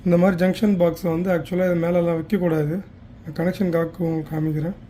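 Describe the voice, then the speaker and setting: A man's voice: a little high, flat delivery, fast, dark tone. A man giving a plain step-by-step product demonstration, mixing in some English technical words. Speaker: product demonstrator for a heater supplier. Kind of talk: hands-on instructional demonstration. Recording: faint background noise.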